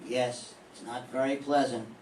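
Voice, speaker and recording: A man's voice: medium pitch, flat delivery, measured pace, a little mellow, close to an everyday voice. Older guy doing a dry sardonic private eye, old radio show style, roomy and far off. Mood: questioning. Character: hard-boiled male private detective, dry and sardonic. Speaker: amateur radio play performer. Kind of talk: 1940s radio acting. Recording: echoey room from far off, faint hiss.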